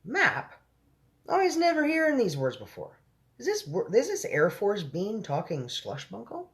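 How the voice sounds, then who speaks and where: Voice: medium pitch, wildly swooping pitch, measured pace, pitched above the performer's own voice. Reader putting on a lilting giant with muddled grammar. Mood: puzzled. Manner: mangled grammar and invented nonsense words. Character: big friendly male giant with muddled, homely speech.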